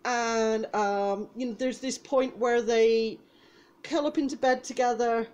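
A woman's voice: a little high, steady tone, slow pace, a little mellow, lo-fi sound. A young adult woman speaking casually as an actor in an interview, telling stories with quoted asides over a video call. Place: small indoor room with blue backdrop.

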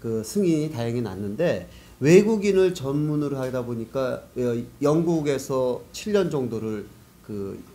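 A male interview guest with a soft, mellow timbre explains things conversationally. His pitch sits in an ordinary middle range for a man. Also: expressive, a little slow. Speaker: guest, business network organizer.

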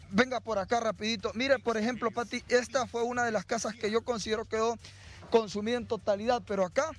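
A man with a Honduran accent reporting live on TV news from the scene of a fire, outdoors, on a handheld mic, urgent and guiding the camera. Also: very high voice. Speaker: field reporter. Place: outdoors at burned homes.

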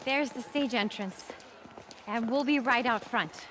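An actress playing a warm, supportive woman in a video game, casual and encouraging. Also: fairly high pitch, a little slow. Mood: affectionate. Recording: faint outdoor background noise.

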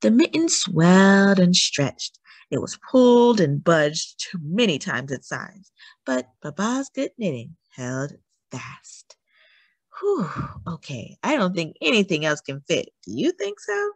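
A woman's voice: lowish voice, very animated, unhurried, dry recording. A woman reading a picture book aloud to young children, expressively and with chatty asides to the listeners. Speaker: read-aloud storyteller for children.

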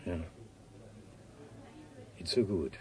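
A man's voice: drawn-out words, dark tone. An actor playing a grumbling, scornful village man with a southern German dialect in a radio drama.

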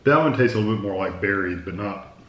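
A man's voice: on the low side, animated, fast, a bit bright, muffled recording. A middle-aged man chatting casually as he reviews food in a taste test. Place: indoor room at a wooden table. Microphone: camera across the table. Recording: echoey room.

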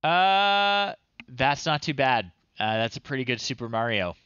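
Slow-paced man thinking aloud, lively pitch. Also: clear, resonant tone, no echo, dull audio. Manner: thinking aloud, muttering in short phrases. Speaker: livestream host. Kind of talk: casual livestream commentary while trying old software. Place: small room indoors at home.

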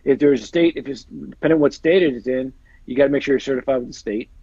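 A man's voice: medium pitch, fast, vocal fry, mellow, husky, no echo. A man in his forties, an agent and guest on a video call, talking casually and rambling, repeating phrases and using many fillers, with earbuds in a small room. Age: 40s. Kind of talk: casual interview chat. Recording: dull lo-fi video call audio.

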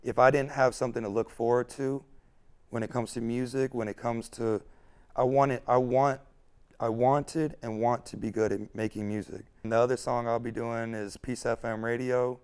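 Young male rapper, mid-pitch, smooth clean tone, sincere and reflective, lapel mic. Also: fairly even intonation, moderate pace, a little mellow. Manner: earnest, reflective, repeats himself. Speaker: hip-hop artist. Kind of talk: candid interview talk. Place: studio set with couch and neon lights.

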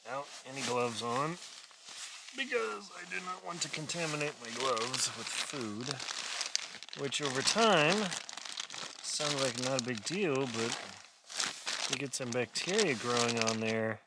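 Middle-aged male outdoor vlogger, slow and folksy, explaining routines while busy with gear; clearly noisy on-camera sound. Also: mid-range voice, animated. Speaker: solo winter camping vlogger. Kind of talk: casual instructional vlog talk. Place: inside a small tent outdoors at night.

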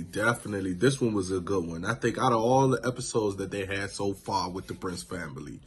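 A man in his thirties recapping a show on his vlog, laid-back and animated, from a small room indoors into a phone camera. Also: sits low, a little hiss or hum. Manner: laid-back, animated, lots of "you know what I'm saying". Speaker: vlogger. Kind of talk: casual reaction vlog.

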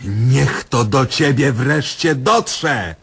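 An actor playing a diabolical doctor who toys mockingly with his patient in a theatrical, drawn-out radio drama style. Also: mid-range voice, expressive, a bit bright, slightly rough, exaggerated voice. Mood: scolding.

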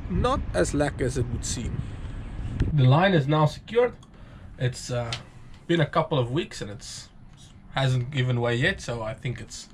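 A man in his thirties narrating a sailing vlog in a loose, rambling way, full of ums and restarts. His voice sits at an ordinary middle pitch. Clear outdoor noise runs behind him on the water. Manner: rambling, relaxed, with many fillers. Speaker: sailing vlogger. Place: outdoors on a boat in a marina.

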